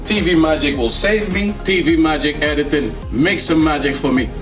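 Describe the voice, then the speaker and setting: A man's voice: fairly high pitch, normal speed, over music. A man giving casual, enthusiastic unboxing commentary as a tech reviewer, in a playful, slangy, joking manner. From Netherlands. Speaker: tech reviewer, video host.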